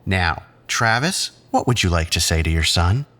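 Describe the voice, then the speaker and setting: A male actor playing a condescending, controlling psychiatrist who talks in patronizing, measured therapy-speak in an audio drama. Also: deep voice, lively intonation, bright, forceful tone. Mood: falsely soothing.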